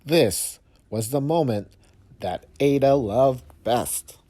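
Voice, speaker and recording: A man reading a rhyming picture book aloud to young children, with expressive, measured phrasing and emphatic pauses. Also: mid-range voice.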